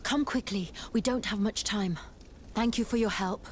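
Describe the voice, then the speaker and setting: An actress playing a determined, wary scientist-explorer in a fantasy game, earnest and articulate. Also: female voice, mid-range voice, normal speed, a little hiss or hum, close to an everyday voice. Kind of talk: scripted game cutscene acting.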